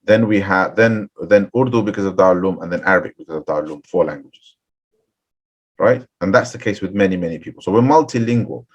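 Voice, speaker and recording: A man's voice: sits low, fast talker, highs cut off. A middle-aged man, an Islamic scholar, giving a webinar talk of advice to seminary graduates, earnest and full of restarts. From Bengali background, seminary-trained. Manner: earnest, repeats and restarts phrases, asks "right?". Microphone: webinar, computer mic.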